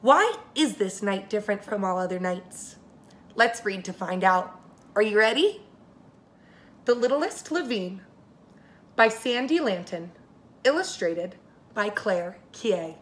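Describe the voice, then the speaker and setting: A woman preschool teacher reading a story aloud to young children, expressive and speaking to them directly. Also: mid-range voice, slow, long pauses.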